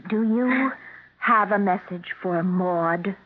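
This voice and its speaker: A woman's voice: mid-range voice, slow. An actress playing an earnest, worried woman in a 1970s radio drama, speaking intently. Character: earnest, worried woman taking danger seriously. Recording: muffled, narrow-band 1970s radio broadcast.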